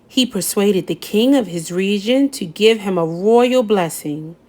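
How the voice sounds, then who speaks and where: A woman reading a children's picture book aloud, gentle and expressive, lifting her voice on key words. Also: mid-range voice, a little slow. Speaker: storybook reader. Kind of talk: children's read-aloud narration.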